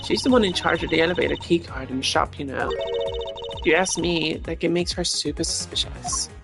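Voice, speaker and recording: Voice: mid-range voice, a little fast, creaky, natural voice. A performer voicing a ditzy, sleepy, evasive young female flight attendant in a mystery game, airy and casual with feigned innocence. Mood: wheedling, sly. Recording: game music behind the voice.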